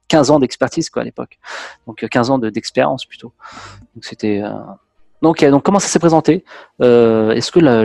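A man's voice: mid-range voice, gravelly, mellow, no echo. Man in his thirties, interview host, chatty, direct, headset mic, dry close sound. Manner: chatty, direct, addresses viewers. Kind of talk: conversational interview. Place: indoor room. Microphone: headset on video call.

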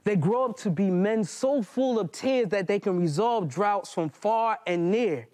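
An American man in his thirties performs spoken-word poetry on stage. His voice sits high, and he phrases dramatically, leaving deliberate pauses. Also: expressive, a little mellow. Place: indoor hall stage before small audience.

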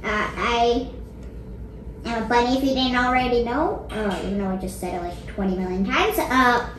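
A woman playing silly bunny puppet, chatty, hesitant, high voice, echoey noisy room. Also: normal speed, recorded from a distance, natural voice. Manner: rambling, hesitant. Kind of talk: amateur puppet show comedy. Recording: echoey room with loud noise.

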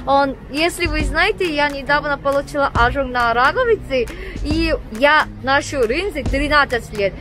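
High-voiced Korean woman vlogging in Russian. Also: normal speed. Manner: chatty, playful, expressive. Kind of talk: casual vlog narration. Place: hospital hallways and exam rooms, indoors. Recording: background music and crowd chatter.

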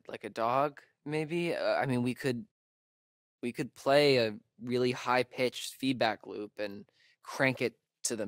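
A man's voice: medium pitch, a bit bright, dry recording, clean studio sound. A young man with an American accent playing a sarcastic, nervy camp counselor in a video game, speaking in a hesitant, stammering way. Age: young adult. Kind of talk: naturalistic video game acting.

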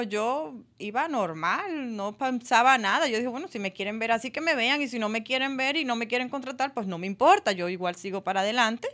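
A woman in her thirties with a Dominican accent, a guest actress talking casually in a radio studio interview, lively and quick to laugh. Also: fairly high pitch. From Dominican Republic. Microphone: studio mic on arm.

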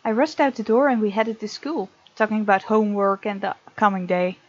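A woman hosting a let's play, reading game text aloud with playful, expressive asides. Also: medium pitch, a little fast, no room sound, highs cut off. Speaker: gaming video host.

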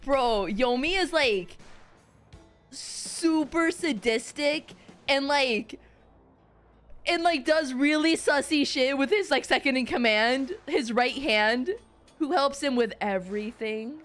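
A woman's voice: high voice, slow, a bit bright, soft background music. A young woman giving casual, playful, reactive commentary as she streams a video game.

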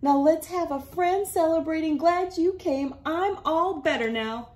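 A woman's voice: very high-pitched, a little slow, darkish tone, voice pushed up. A woman voicing a merry old granny in a folksy, tickled storybook manner.

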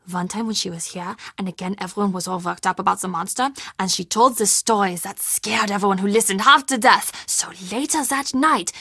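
An earnest woman who manages a castle, with a fairly high, bright voice. She talks conversationally and expressively, confiding yet quick to defend herself. The recording is dry and close. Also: dry recording, exaggerated voice. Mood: confiding, hushed. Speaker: video game character. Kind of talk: video game dialogue acting.

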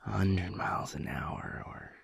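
A man playing a sweet, reflective werewolf boyfriend in an intimate audio roleplay, speaking softly and haltingly in a breathy, gravelly half-whisper. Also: deep voice, full character voice. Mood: reflective, hushed. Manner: slow, halting, soft-spoken, musing.

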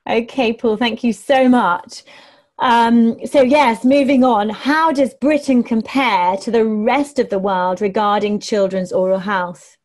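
A woman's voice: a little high, darkish tone. A young woman hosting a webinar, politely reading out questions over a video call with wired earphones from a room at home. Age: young adult. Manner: polite, unhurried, reads out questions. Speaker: webinar host. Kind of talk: moderating a webinar interview. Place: home room with window, indoors. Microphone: earphone headset on a video call.

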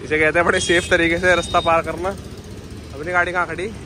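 A man's voice: on the high side, level tone, quick pace. A man, vlogging in a casual, joking way while walking around a city expo. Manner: joking, chatty, laughs often. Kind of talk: casual vlog chat. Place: outdoors on busy city streets and at an expo. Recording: loud traffic noise outdoors.

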